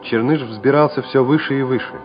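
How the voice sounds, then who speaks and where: A man narrating a wartime radio drama in a measured, solemn, scripted way. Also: medium pitch, steady tone, natural voice. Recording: dull old radio recording with music.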